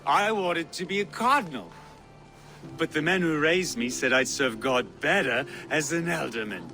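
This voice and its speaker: A man's voice: a little high, expressive, normal speed, bright, forceful tone, faint music behind. A man acting an amiable, modest and merry medieval nobleman in a video game. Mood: earnest, pleased.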